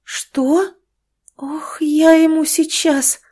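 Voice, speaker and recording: A woman voicing a frantic village mother who scolds loudly. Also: fairly even intonation, normal speed, natural voice. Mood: frantic scolding. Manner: shrill scolding, wailing.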